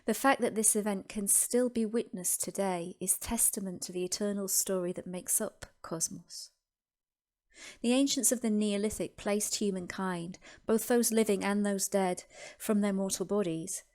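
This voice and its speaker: A woman narrating a scripted guided meditation as host, with deliberate, reverent phrasing and pauses. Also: medium pitch, a little mellow.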